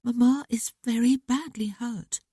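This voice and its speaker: A woman's voice: on the high side, measured pace, breathy edge, dry recording, studio quality, pitched above the performer's own voice. A woman voicing an outspoken, sensitive young woman who talks frankly to her brother. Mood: earnest, wistful. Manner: lively, talkative, candid.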